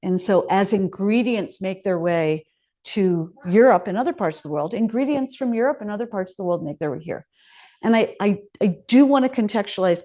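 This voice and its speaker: A woman's voice: medium pitch, mellow. A woman chef giving a public talk, telling it like a story and asking the audience rhetorical questions. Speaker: chef and speaker. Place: hall of a residential contemplative community.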